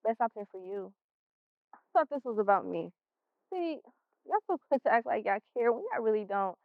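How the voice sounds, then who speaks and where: A woman's voice: on the high side, expressive, moderate pace, vocal fry, narrow, tinny audio. A woman playing a defiant, exasperated sixteen-year-old American schoolgirl in a stage play, arguing in slangy, defensive speech. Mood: defiant, annoyed.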